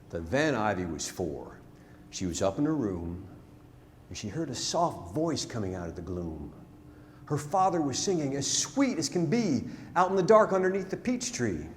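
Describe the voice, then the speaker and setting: A man recites rhyming narrative verse on stage as a storyteller, dramatic and deliberate, swelling and then hushing his phrases. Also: mid-range voice. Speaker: storyteller performing a narrative poem. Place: large theatre, live stage performance. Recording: live theatre stage, long reverb, noisy.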